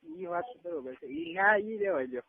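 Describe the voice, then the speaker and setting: A man's voice: a little high, lively intonation, moderate pace, no room sound. A man in his twenties with a Gujarati accent in a casual private phone chat, speaking in an insistent, coaxing way. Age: 20s. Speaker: caller. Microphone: telephone line. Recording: tinny narrowband phone call recording.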